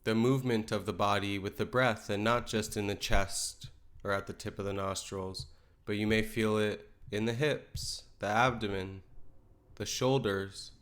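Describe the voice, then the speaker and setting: A man leading a guided meditation in a calm, gentle, unhurried way, letting his phrases settle softly. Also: fairly low pitch. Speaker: meditation teacher.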